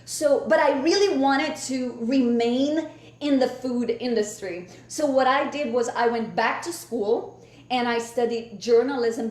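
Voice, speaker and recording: A middle-aged Brazilian woman with a fairly high voice and a Brazilian accent from her native Portuguese. She tells her story as an enthusiastic, passionate guest in a conversational interview. The sound is that of an echoey room over a video call. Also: darkish tone. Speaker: guest chef and cookbook author.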